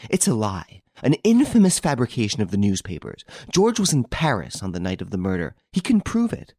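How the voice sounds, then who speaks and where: A man's voice: on the high side, huge pitch swings, moderate pace, gravelly, a little mellow, slightly rough, dry recording, clean studio sound, pitched above the performer's own voice, full character voice. A man voicing a passionate, defiant young woman film star in an audiobook story, breathless and emotional. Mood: indignant, vehement.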